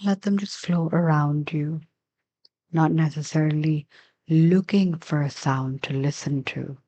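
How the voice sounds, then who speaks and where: Slow, mellow woman guiding meditation. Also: deep voice, dull audio. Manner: gentle, unhurried instruction with long pauses. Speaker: yoga and meditation teacher. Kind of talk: guided meditation.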